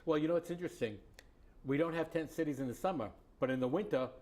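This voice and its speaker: A man's voice: fairly high pitch, quick pace, a little mellow. A man co-hosting a local political talk show, agreeing with his partner and adding his own points. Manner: agreeable, backs up his co-host with short affirmations. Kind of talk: casual political chat.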